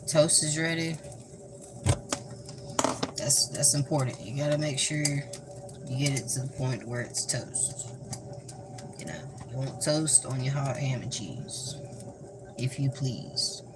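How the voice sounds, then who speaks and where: A young man with a Southern US accent casually talking through a cooking vlog in a flat, monotone, deadpan way, rambling and joking. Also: mid-range voice, slow, long pauses. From American South. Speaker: cooking vlogger. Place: home kitchen. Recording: noisy home recording.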